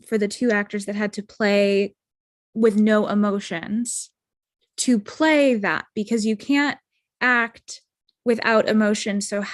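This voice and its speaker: Female moderator, mid-pitched and dry, casual and encouraging, feeling out her questions on a video call. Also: dry recording. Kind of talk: casual post-show Q&A.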